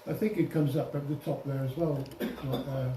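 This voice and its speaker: A man giving an informal software demonstration to a group, explaining step by step with some hesitation and self-correction. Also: medium pitch, steady tone, normal speed. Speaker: presenter at an amateur radio club. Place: indoor club meeting room. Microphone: distant room microphone. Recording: echoey room, distant mic, faint noise.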